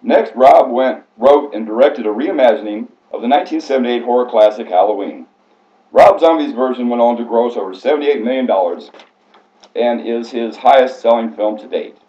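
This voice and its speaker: A man's voice: mid-range voice, mellow. A man reading a prepared biographical speech aloud in a formal, scripted way, stumbling now and then. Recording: echoey room, slightly muffled sound.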